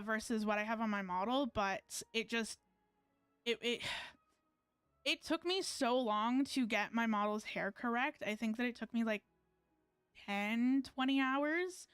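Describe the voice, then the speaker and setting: Female VTuber, casual rambling game-stream chat, high clear voice, very little room sound. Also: fairly bright tone, no room sound. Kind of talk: casual livestream chat while gaming.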